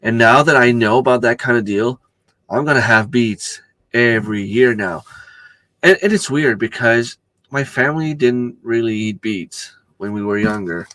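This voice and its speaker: A middle-aged man with a hoarse voice from a cold, chatting casually on a home live stream and greeting viewers by name. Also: mid-range voice, normal speed, a bit bright, dry recording. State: losing his voice from a cold. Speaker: channel host.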